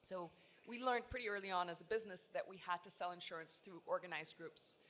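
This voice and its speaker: A woman's voice: lowish voice, a little fast, slight background noise. Woman founder speaking on a conference panel. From Tanzania. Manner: relaxed, self-deprecating storytelling with frequent fillers. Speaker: startup founder, panel guest. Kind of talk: conversational panel answer. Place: large loud conference hall.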